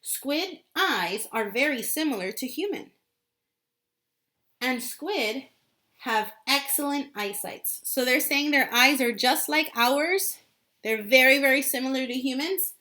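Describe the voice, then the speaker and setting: Higher-pitched woman teacher reading aloud. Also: animated, unhurried, bright, forceful tone. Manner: repeats and rephrases key points for children. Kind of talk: read-aloud lesson with simple explanatory asides.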